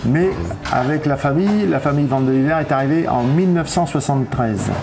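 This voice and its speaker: A man's voice: fairly high pitch, fairly quick. A man in his fifties explaining things casually in an interview as a business owner, often hesitating and searching for words. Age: 50s. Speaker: hotel and bar owner. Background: music.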